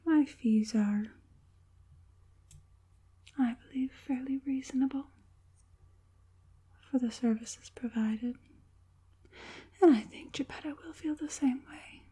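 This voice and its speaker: A woman playing an evil, proud and doting witch puppet master in a polite, breathy ASMR whisper with occasional softly voiced words. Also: sits high, exaggerated voice. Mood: polite, soothing. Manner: unhurried, polite, hushed, deliberate with repeated affirmations.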